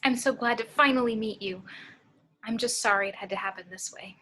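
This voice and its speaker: A woman playing a gentle, persuasive, kind woman with a husky voice in an amateur table read. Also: fairly high pitch, fairly quick. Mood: tender. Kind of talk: amateur at-home table read of a film script.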